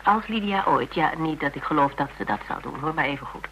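A woman playing a practical, worldly character in a radio drama, speaking in a measured, wry, matter-of-fact way. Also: deep voice, lively intonation, fairly bright tone, slight background noise, low-quality recording. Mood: earnest advice. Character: Tera, cool practical worldly woman giving frank advice. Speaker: actor in a radio play. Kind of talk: Dutch radio drama acting, conversational.

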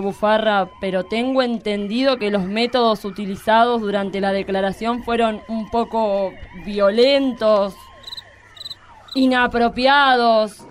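A woman playing a stern, measured judge. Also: a little high, a little hiss or hum, close to an everyday voice.

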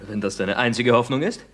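Animated, husky young playwright. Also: male voice, mid-range voice, moderate pace. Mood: dry, bossy. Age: young adult. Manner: theatrical, dry irony with exasperated emphasis. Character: excitable young playwright, ironic, quick to exasperation. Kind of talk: 1960s German radio comedy acting.

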